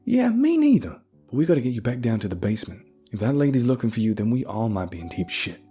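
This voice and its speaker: A man's voice: lowish voice, big pitch swings, moderate pace, mellow, faint music behind, voice pushed up. Man acting a sarcastic, nervy schoolboy. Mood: panicked. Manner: mocking, nervy. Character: sarcastic, anxious foul-mouthed boy, the story's hero.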